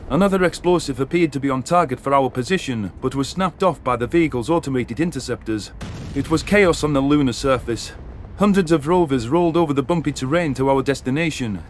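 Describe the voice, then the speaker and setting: A man's voice: a little high, a little hiss or hum. A man narrating a dramatic first-person science fiction story as an audiobook.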